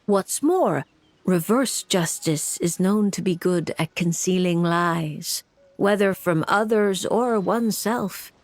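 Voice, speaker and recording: A woman's voice: lowish voice, takes it slowly, no echo. A woman acting a cool, composed and blunt fortune-telling mage with a dry manner in a video game. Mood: solemn, advising. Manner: composed, matter-of-fact, dry.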